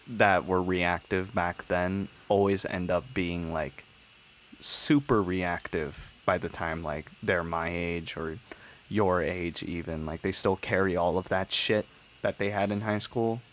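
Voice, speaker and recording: A man's voice: low-pitched, steady tone, takes it slowly, slight background noise. A young man of about twenty chatting casually, rambling and swearing for emphasis as he makes his points. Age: 19, turning twenty. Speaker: podcast host. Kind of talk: casual chat.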